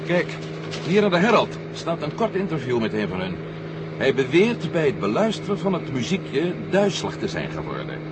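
An actor playing a sharp-witted, suspicious man in a 1970 radio drama, wry and questioning. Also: fairly high pitch, huge pitch swings, normal speed, very noisy. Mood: thoughtful. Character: sharp-witted, suspicious male companion of a general. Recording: old radio recording, loud engine drone.

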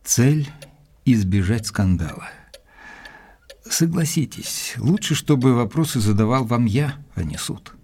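Gentleman investigator, mellow, slightly husky, measured, polite, formal, audio drama with music behind. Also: medium pitch, animated. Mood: polite, probing. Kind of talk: dramatized audiobook narration and acting.

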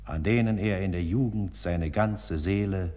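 An actor as a solemn, devotional narrator in a radio play, reading scripted prose with reverence. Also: male voice, deep voice, unhurried, warm tone. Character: solemn, devotional male storyteller. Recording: long hall-like reverb.

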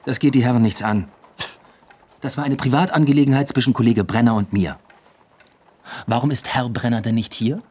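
An actor as a stubborn police detective in a radio play. He is terse and proud, with a mid-pitched, husky voice. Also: brisk, pauses often, mellow, natural voice. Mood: defiant. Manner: terse, defiant, dry. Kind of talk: radio drama acting.

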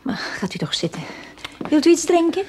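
An actress playing an anxious, pleading rich wife, in a radio play. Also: female voice, sits high, sing-song, normal speed, mellow, whispered. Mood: anxious, insistent. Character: frightened, desperate wealthy wife in her early thirties. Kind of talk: radio drama acting.

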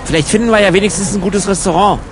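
A man's voice: high voice, fast talker, a crowd in the background, noisy background. A man in casual banter, talking in a dry, grumbling, argumentative manner. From Germany. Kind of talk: casual banter in an audio collage.

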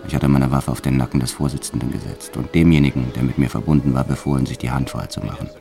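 A very deep, gravelly hardened gangster. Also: male voice, steady tone, fast talker, dark tone, soft background music. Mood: tense, controlled. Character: hardened male French bank robber, reckless and cold. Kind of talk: first-person radio drama narration.

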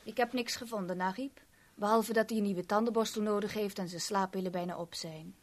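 Woman acting breathy, brazen seductive henchwoman. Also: medium pitch, steady tone, brisk, lightly acted. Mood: flirty teasing.

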